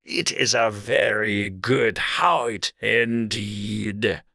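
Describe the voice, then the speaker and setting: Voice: medium pitch, very slow, vocal fry, pushed and bright, no room sound, clean studio sound, exaggerated voice. A narrator voicing a haughty, languid, irritable caterpillar with a curt drawl in a dramatized storybook. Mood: curt, contradicting.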